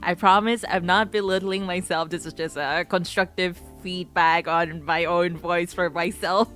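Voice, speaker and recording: A woman streamer chatting casually with a low voice, giggling often and mixing in Japanese phrases. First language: not Japanese. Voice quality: lower voice, prefers low register. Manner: chatty, giggly, switches between English and Japanese. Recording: faint music behind.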